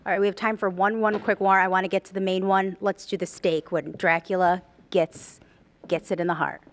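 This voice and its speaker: A woman's voice: medium pitch, level tone, brisk. A woman reporting live on television in a chatty, enthusiastic interview style.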